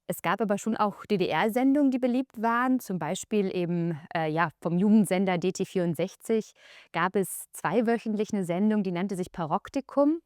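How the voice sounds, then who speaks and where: A woman's voice: mid-range voice, darkish tone, dry recording, clean studio sound. A woman explaining objects in a museum collection in an informal, explanatory way, stressing key words as she goes.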